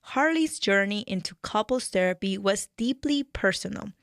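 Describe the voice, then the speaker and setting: A woman from Peru with a Peruvian Spanish accent, a therapist hosting a radio show and reading a prepared introduction in a measured, earnest way. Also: mid-range voice, expressive, creaky, fairly bright tone, dry recording, clean studio sound. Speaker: radio show host and mental health therapist.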